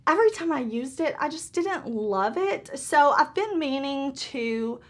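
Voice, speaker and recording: Brief: woman, thirties, slightly hoarse, bubbly beauty-vlogger chatter, voice pitched a bit high. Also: fairly bright tone. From Southern United States. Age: 30s. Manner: chatty and upbeat, laughs often. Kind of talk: casual get-ready-with-me makeup chat.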